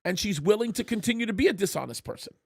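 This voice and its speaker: A high-pitched man hosting a live political talk show, pressing his points with emphasis. His voice sits well above the usual male range, and the sound is close and dry, with no room in it. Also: animated, racing, vocal fry, a little mellow, slightly rough, no room sound. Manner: emphatic, repeats words when starting a point. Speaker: pastor and show host.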